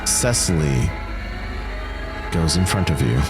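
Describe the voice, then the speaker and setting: A man working as game master, narrating tabletop roleplay scenes deliberately to the players. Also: a bass voice, lively intonation. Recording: music under the voice.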